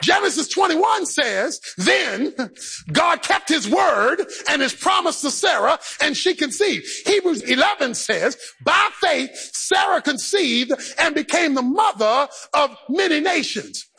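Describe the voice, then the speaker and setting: High-pitched gravelly man preaching loudly. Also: very animated, measured pace. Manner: preaching in a rising, half-sung chant; acts out the believer's inner voice. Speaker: pastor. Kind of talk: shouted sermon.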